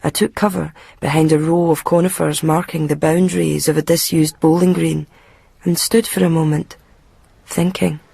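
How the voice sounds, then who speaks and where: A woman's voice: deep voice, level tone, normal speed, creaky, warm tone, slightly rough, dry recording, full character voice. A woman playing a hesitant, earnest young woman with a Scottish accent in an intimate radio drama. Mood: reflective. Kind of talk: radio drama dialogue and first-person narration.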